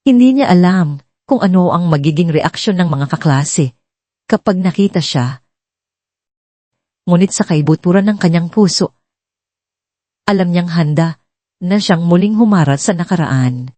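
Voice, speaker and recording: A woman's voice: on the low side, lively intonation, unhurried, long pauses, dark tone, no echo. A woman reading a scripted dramatic story as a storyteller.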